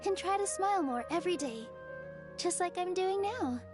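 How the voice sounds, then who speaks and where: Casting: sweet upbeat young game heroine, high-pitched, earnest and encouraging, music behind. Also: female voice, animated, normal speed. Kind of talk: video game voice acting.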